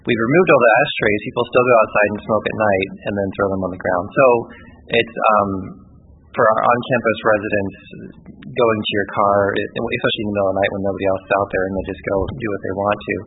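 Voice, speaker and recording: A man's voice: fairly low pitch, steady tone, fast, a little hiss or hum. A man giving an informal report at a committee meeting, casual with many fillers. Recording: tinny phone-like sound.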